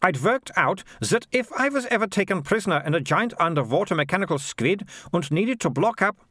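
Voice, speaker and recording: A man's voice: sits high, animated, brisk, fairly bright tone, no room sound, clean studio sound, pitched above the performer's own voice. A man voicing a proud, self-assured girl with a grand imagination in a storybook.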